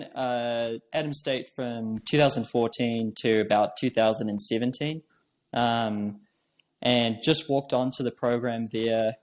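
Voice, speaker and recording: A young man from New Zealand, a trail runner, chatting casually as an interview guest and filling his talk with "like" and "um". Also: mid-range voice. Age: young adult. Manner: relaxed, with many fillers like "like" and "um".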